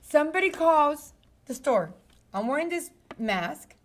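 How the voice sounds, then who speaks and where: A woman's voice: high voice, unhurried. A woman from Chicago, raised speaking Spanish first, telling a story as a podcast co-host in casual chat. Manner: animated storyteller, laughs often.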